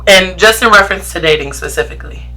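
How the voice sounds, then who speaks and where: A woman's voice: fairly low pitch, fast talker, bright, forceful tone, faint music behind. A woman in her thirties hosting a podcast, speaking, playful and laughing often. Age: 30s. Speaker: podcast host. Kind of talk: casual podcast chat.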